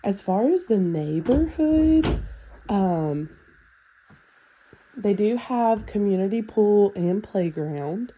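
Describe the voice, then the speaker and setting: A woman's voice: medium pitch, takes it slowly, pauses often, a little hiss or hum. A woman with a stuffy, nasal voice from a sinus infection, casually walking through a house as a real estate agent. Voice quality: nasal, congested. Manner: relaxed and chatty, with fillers like "um" and "like". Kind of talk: casual home walkthrough tour.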